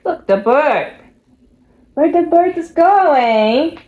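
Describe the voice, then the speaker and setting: High, animated woman reading to kids. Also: extremely slow, reverberant. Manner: animated, asks the child questions. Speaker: storytime channel host reading aloud to a child. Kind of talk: read-aloud picture book storytelling for children. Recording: muffled lo-fi home recording, background noise.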